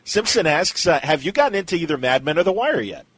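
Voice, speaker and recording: A man's voice: fairly high pitch, very animated, quick pace, a bit bright, breathy edge, no room sound. A man hosting a podcast in a casual, agreeable interview style. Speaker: podcast host.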